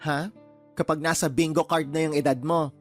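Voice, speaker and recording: A man's voice: fairly high pitch, flat delivery, moderate pace, soft background music, lightly acted. A man playing a flamboyant, chatty, meddling best friend in a Tagalog radio drama, with a sassy, theatrical delivery. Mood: teasing.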